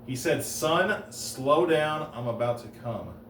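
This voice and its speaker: A man doing deadpan one-liner stand-up comedy on a stage, halting and restarting between jokes. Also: mid-range voice, a little hiss or hum. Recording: roomy live room, heard from a distance.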